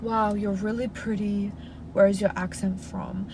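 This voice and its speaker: Young Australian woman host with a Russian first language, chatty and reassuring, mid-range voice, steady car noise behind her. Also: medium pitch, unhurried. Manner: chatty, frequent "like" fillers, reassuring advice-giver. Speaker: livestream host answering viewer questions. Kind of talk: casual live Q&A. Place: inside a parked car.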